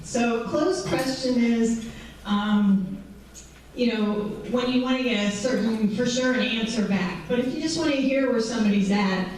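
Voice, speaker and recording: Woman in her 40s, counselor, high voice, conversational presenter, recorded from afar in a very reverberant hall with faint background hiss. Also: a little slow, darkish tone. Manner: conversational, frequent um and right. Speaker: mental health counselor. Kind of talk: presentation to a patient group. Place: large echoey event room. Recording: echoey hall, distant mic, faint noise.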